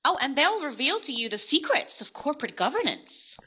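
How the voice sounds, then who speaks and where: A woman's voice: sits high, sing-song, fairly quick, bright, forceful tone, dull audio, full character voice. An actress playing a confident, playful young businesswoman who teases and laughs. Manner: bubbly, teasing, laughs often.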